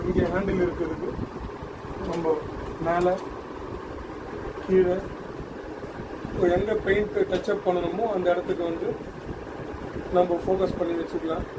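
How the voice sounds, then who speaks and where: High-pitched, even-toned man demonstrating a product. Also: background noise. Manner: halting, repeats words while explaining. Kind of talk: unscripted product demonstration, Tamil mixed with English terms.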